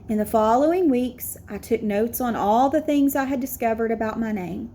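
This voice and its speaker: Female storyteller, higher register, expressive picture-book read-aloud for young children, slightly noisy home-style recording. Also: normal speed. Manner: expressive, explains to young listeners.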